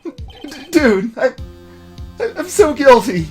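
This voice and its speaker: A man voicing a frantic, despairing young male defendant in a video game, wailing and stammering loudly over music. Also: very high voice, big pitch swings, slow, pitched above the performer's own voice, exaggerated voice. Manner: shouted, stammering wails. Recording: music playing behind the voice.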